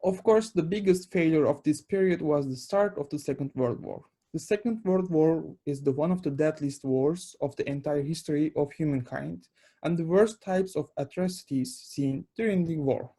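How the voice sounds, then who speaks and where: A man's voice: on the high side, normal speed, dark tone. A young man, a research assistant giving a webinar lecture on international law, explaining steadily with some fillers.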